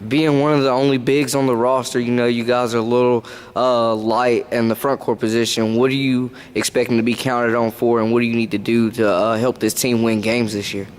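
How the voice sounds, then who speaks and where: A man's voice: mid-range voice, flat delivery, fairly quick, hardly any pauses, smooth. Man asking rambling interview questions. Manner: long, loosely built questions with fillers.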